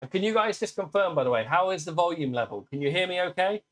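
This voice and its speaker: A British man with a naturally loud voice, hosting a casual live stream demo and chatting warmly with viewers. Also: high voice, expressive, fast. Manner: chatty, upbeat, greets viewers by name. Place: workshop with laser engravers.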